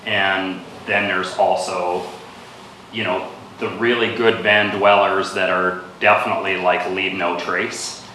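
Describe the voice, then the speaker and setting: A man from the public with a loud voice, speaking nervously and informally to council. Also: low voice, level tone, slow, slight background noise. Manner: nervous, informal, self-conscious. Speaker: member of the public. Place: council chamber. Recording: echoey council chamber.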